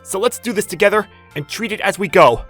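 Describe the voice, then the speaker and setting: A woman voicing a loving, protective husband who speaks softly and turns angry at his mother. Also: mid-range voice, animated, a little fast, husky, faint music behind. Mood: gentle. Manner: gentle and soothing, furious when defending.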